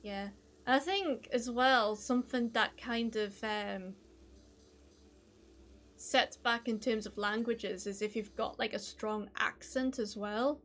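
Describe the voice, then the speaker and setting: A woman's voice: a little high, fairly even intonation, slow pace, a bit bright. A woman with a Geordie accent chatting casually while streaming a game, rambling with lots of "like" fillers and poking fun at herself. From North East England. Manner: rambling, many "like" fillers, self-deprecating. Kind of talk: casual chat while gaming, reading chat.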